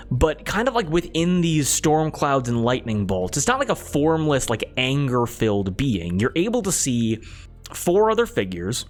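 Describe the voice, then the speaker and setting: Male game master, improvised fantasy narration with repeated phrasing, music underneath. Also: mid-range voice.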